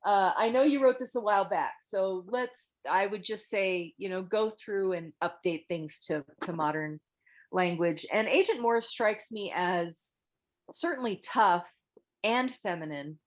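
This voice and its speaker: A woman with a medium-pitched voice leads a critique after a script reading. She talks informally and haltingly, choosing her words tactfully and keeping an encouraging manner. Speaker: table read host giving critique. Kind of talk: informal spoken feedback to a group.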